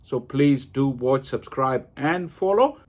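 A man's voice: mid-range voice, lively intonation, takes it slowly. A middle-aged man hosting an online interview, asking questions in a formal, polite way. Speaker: host. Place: indoors, room with curtains.

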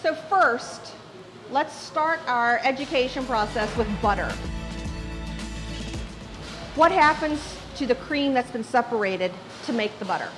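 Inquisitive woman interviewer, higher-pitched voice. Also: expressive, unhurried, pauses often, over music. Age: middle-aged. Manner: inquisitive, recaps answers. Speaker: interviewer, host. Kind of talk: conversational interviewing. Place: farm and indoor creamery room. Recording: clear background equipment noise.

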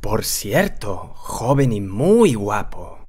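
Someone voicing an animated, clever talking tomcat. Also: mid-range voice, very slow, full character voice. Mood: courtly, flattering. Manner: persuasive, formal, courtly address. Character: clever, confident talking male cat servant. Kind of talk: children's cartoon character acting. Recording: light music behind the voice.

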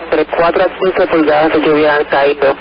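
A man's voice: a little high, fairly even intonation, moderate pace, vocal fry. A man reading a scripted flood warning as a bulletin announcer over the radio. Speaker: emergency weather bulletin announcer. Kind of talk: scripted bilingual alert reading. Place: radio broadcast. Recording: muffled, noisy weather radio broadcast.

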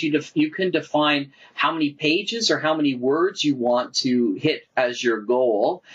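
A man's voice: on the high side, fairly bright tone, breathy edge, muffled recording. Enthusiastic man chatting as podcast host. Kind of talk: casual chat.

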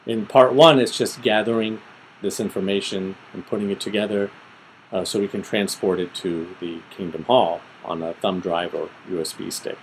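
A middle-aged man giving an informal, step-by-step screen tutorial, thinking aloud methodically with pauses. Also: lowish voice, steady tone, slight background noise, low-quality recording. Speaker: tutorial presenter. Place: small room at home, indoors.

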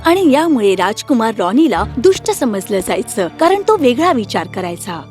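A woman's voice: fairly high pitch, big pitch swings, moderate pace, over music. A woman narrating a scripted fairy tale as the storyteller.

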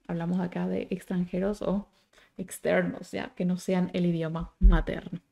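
A woman, giving a language lesson with deliberate enunciation and frequent little check-in tags. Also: fairly low pitch, flat delivery, gravelly, dark tone. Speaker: German teacher. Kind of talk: bilingual language lesson, explaining and modelling phrases. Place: small indoor room at home.

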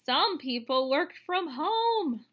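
A woman in her thirties reading a picture book aloud to children, explaining as she goes in an expressive way. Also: high-pitched, takes it slowly, a little hiss or hum. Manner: expressive, explanatory, addressing young listeners. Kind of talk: read-aloud storytelling for children with asides. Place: room at home, indoors.

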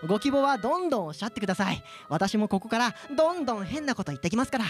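A man playing a polite, cheeky real estate agent who jokes deadpan in a light comic radio drama. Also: very high voice, very fast, faint music behind.

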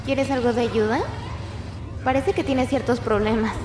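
A woman's voice: fairly high pitch, steady tone, moderate pace, background noise, natural voice. A young woman playing a tough, snarky outlaw in a Latin American Spanish video game fan dub, blunt and sarcastic. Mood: dry, amused. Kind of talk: amateur fandub of a video game. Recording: echoey room with music.

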